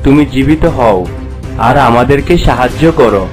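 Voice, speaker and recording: A man's voice: mid-range voice, moderate pace, close to an everyday voice. A man voicing a caring, resolute young hero in a cartoon fairy tale. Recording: music behind the voice.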